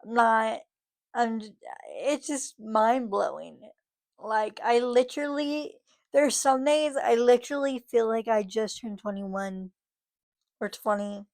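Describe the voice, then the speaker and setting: A woman's voice: fairly high pitch, slow pace, darkish tone. A woman in her thirties talking casually to her camera, rambling with lots of fillers and restarts. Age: 30s. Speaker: vlogger.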